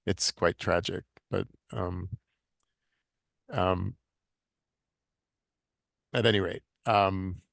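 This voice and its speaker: A man leading a book talk, speaking in a measured, reflective way. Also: deep voice, steady tone, creaky, no echo. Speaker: interviewer.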